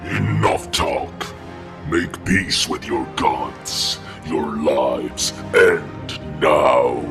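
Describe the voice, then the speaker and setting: An actor voicing a menacing monstrous warrior villain in an audio drama, growling slow, threatening, archaic pronouncements. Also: male voice, rumbling low, creaky, full character voice. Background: dramatic music.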